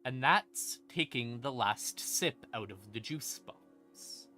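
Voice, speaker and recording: Slow-paced bright-voiced man streaming game lore. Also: medium pitch, lively intonation. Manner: playful, conversational, dramatic pauses and asides. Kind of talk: casual livestream lore explainer. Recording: ambient music behind the voice. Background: creepy ambient music.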